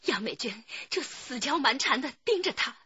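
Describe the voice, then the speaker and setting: An actress playing an aggrieved, jealous wife with a husky voice in a radio drama. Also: female voice, high-pitched, sing-song, moderate pace, bright, forceful tone, muffled recording, full character voice. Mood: bitter recounting.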